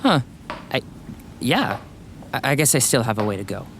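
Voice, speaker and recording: A man's voice: mid-range voice, sing-song, moderate pace, creaky, background noise. An actor playing an awkward, self-centred young man in an audio drama, hesitant, defensive and boyish. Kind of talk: scripted audio drama acting.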